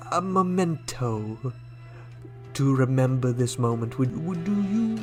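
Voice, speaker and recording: An actor playing a suave, menacing alien ambassador in a sci-fi radio drama, speaking in a measured, formal, theatrical way. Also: male voice, medium pitch.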